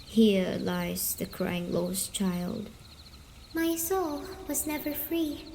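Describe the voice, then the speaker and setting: A woman's voice: mid-range voice, very animated, extremely slow, gravelly, warm tone, echoey room, big-room sound, a little hiss or hum, full character voice. A woman voicing the sorrowful ghost of a lost little girl, plaintive and rhyming, in an ASMR ghost story. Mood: mournful.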